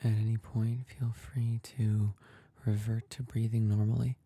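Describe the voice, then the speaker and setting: Male meditation guide, very mellow and relaxed, gravelly fry at the edges, soft, calm and unhurried. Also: on the low side, no echo, studio quality. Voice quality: soft, breathy and husky. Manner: calm, gentle, unhurried with long pauses.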